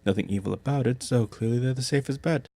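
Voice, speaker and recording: Man as refined, smug, mellow gentleman mage. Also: medium pitch, fast talker, no room sound, natural voice. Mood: smoothly reassuring. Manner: polite, formal. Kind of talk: comedic anime parody dub.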